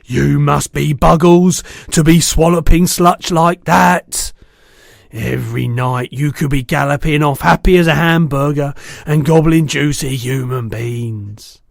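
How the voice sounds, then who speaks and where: A man's voice: fairly high pitch, unhurried, creaky, no echo, studio quality. A man voicing a brutish, greedy, man-eating giant in a storybook, boastful and bullying, with mangled words. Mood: furious, disgusted.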